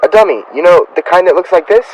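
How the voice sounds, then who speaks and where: An actor plays a goofy, evasive teenage boy in an old-time radio comedy. The delivery is quick and gee-whiz, in lo-fi sound with clear crowd murmur behind. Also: medium pitch, lively intonation, close to an everyday voice. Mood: matter-of-fact.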